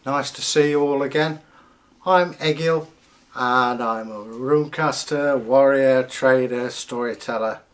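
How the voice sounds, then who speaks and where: A man in the persona of a Viking storyteller, telling folktales in short phrases with theatrical pauses. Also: medium pitch. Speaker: channel host and storyteller.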